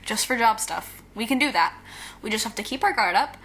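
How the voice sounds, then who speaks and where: A woman's voice: fairly high pitch, lively intonation, fairly quick, pushed and bright. A woman playing a brash, confident young supervillain in an audio drama, blunt and punchy.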